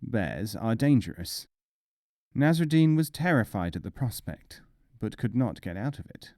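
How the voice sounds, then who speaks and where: A man reading humorous folk tales as a scripted storyteller, in a measured, expressive way. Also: mid-range voice, no room sound, studio quality. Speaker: audiobook narrator.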